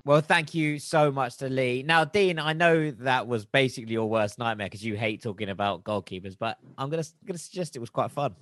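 A man's voice: mid-range voice, a little fast, few pauses, a bit bright. A man hosting a casual football podcast, leading the segments with friendly, teasing banter. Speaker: podcast host.

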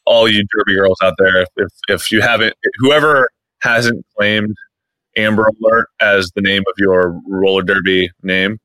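A man's voice: on the low side, fairly even intonation, a little slow, pushed and bright, no echo, low-quality recording. A man hosting a casual podcast chat, rambling with frequent restarts and fillers. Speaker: podcast host. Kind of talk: casual chat about internet posts.

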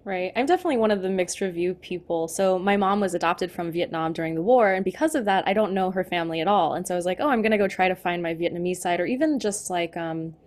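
A woman's voice: on the low side, fast, hardly any pauses. A woman talking casually as an interviewer in a conversation.

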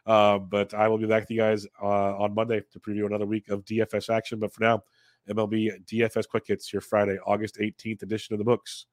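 A man's voice: on the low side, completely flat delivery, fast talker, no echo. A man with a congested voice hosting a casual fantasy sports preview, talking through picks and answering chat questions with plenty of fillers. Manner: conversational, answers live chat, many fillers. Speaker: fantasy sports analyst, show host.

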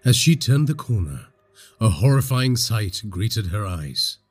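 A man from India narrating a scripted horror story in a husky voice, with dramatic, suspenseful delivery. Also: fairly low pitch, very animated, a little slow, loud music behind the voice.

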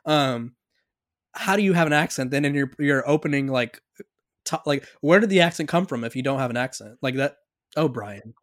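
A man's voice: medium pitch, animated, normal speed, fairly bright tone, dry recording, clean studio sound. A man co-hosting a casual sports podcast, ranting animatedly about a coach and laughing often. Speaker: podcast co-host.

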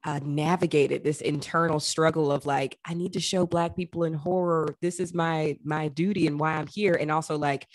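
A woman hosting a casual interview, chatty and expressive, with a self-deprecating way of talking. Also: low voice, normal speed, hardly any pauses, no room sound. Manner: expressive, self-deprecating, acts out quoted thoughts. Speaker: podcast host, actor.

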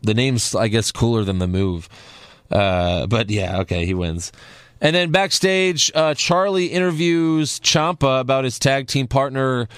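A man's voice: medium pitch, unhurried, a bit bright, clean tone, no echo. A man co-hosting a podcast, recapping a wrestling show in casual, sarcastic chat. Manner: laid-back, sarcastic, lots of fillers.

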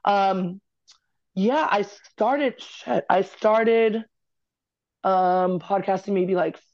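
Woman guest on a podcast, candid and chatty, talks fast, muffled lo-fi sound. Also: medium pitch, a little mellow. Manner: candid, chatty, quick-talking. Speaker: podcast guest, former college basketball player. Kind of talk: casual interview chat.

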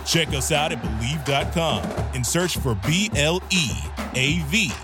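A man's voice: on the high side, animated, measured pace. Male announcer reading outro over music. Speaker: podcast network announcer. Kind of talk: scripted outro. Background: music bed.

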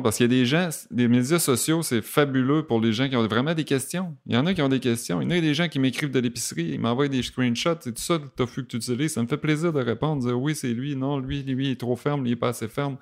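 A man's voice: medium pitch, fast talker. A man with a Québécois accent, a podcast guest who talks casually and acts out the comments people send him. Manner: animated, acts out quoted comments. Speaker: podcast guest, vegan chef. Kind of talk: casual conversation.

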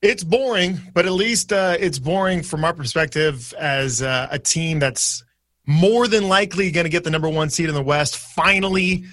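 A man's voice: on the high side, moderate pace, a bit bright, no echo, clean studio sound. A man co-hosting a casual sports podcast, chatty and jokey as he steers the segments. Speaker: podcast co-host.

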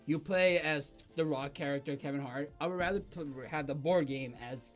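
A man's voice: on the high side, moderate pace, faint music behind. A man on a casual podcast panel who jumps in eagerly with his own objections. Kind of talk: casual group discussion.

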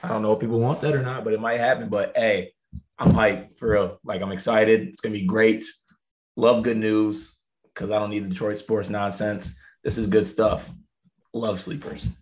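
A man co-hosting a casual podcast, hyped and playful, with a raspy voice. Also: on the low side, little pitch movement, normal speed, poor audio. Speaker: podcast co-host.

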